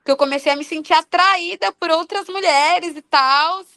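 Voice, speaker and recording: This is a Brazilian woman with an accent from outside Rio and a very high voice, recorded close and dry. She speaks as a guest in casual conversation, telling personal stories. Her delivery is animated and full of slang. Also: normal speed, no room sound. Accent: Brazilian, non-Rio. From Brazil, outside Rio de Janeiro. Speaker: podcast guest, funk singer. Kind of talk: casual chat, personal storytelling.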